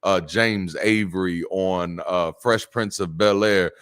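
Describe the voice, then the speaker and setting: A middle-aged man with a naturally deep voice, preaching a sermon in a lively, joking way and repeating words for emphasis. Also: slow pace, clear, resonant tone. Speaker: pastor.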